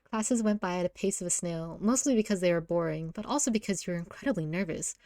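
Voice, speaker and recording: A woman's voice: on the low side, fast talker, warm tone, no room sound, clean studio sound. A woman informally reading a visual novel aloud as narrator, giggly and often stumbling over lines and rereading them.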